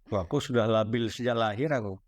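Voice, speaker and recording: A man's voice: lowish voice, fast, no room sound. A man hosting a casual conversation, chiming in with short joking remarks and follow-up questions. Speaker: host. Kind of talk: casual interview chat.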